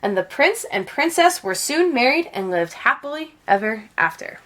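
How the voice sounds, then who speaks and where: A woman reading a children's storybook aloud as an expressive narrator. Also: a little high, moderate pace, a little hiss or hum. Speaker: storybook reader.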